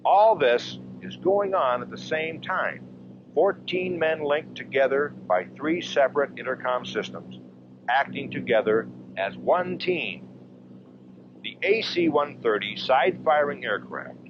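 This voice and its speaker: Lively American male narrator, vintage training film. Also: medium pitch. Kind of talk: scripted military documentary narration. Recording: dull, slightly noisy 1970s film soundtrack.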